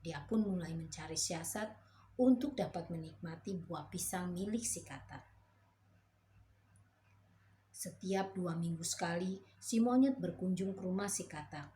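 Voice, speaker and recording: Female storyteller reading a kids' fable, clear and slow, faint hiss behind. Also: on the low side, dark tone. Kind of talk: reading a children's fairy tale.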